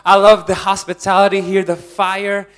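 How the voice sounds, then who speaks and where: A young man from Indonesia, preaching a lively, jokey sermon to a congregation and laughing often. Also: high voice, moderate pace, smooth.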